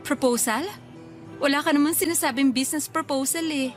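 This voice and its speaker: Young Filipina girlfriend, actress, high voice, coy, playfully skeptical, radio drama. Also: brisk, soft background music, close to an everyday voice. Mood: teasing. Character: tired, doubtful, teasing young working girlfriend. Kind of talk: radio romance drama acting.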